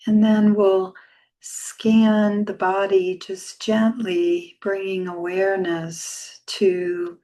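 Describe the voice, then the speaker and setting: A woman leading a guided meditation as a yoga teacher, speaking softly and soothingly with long, unhurried pauses. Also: mid-range voice, low-quality recording.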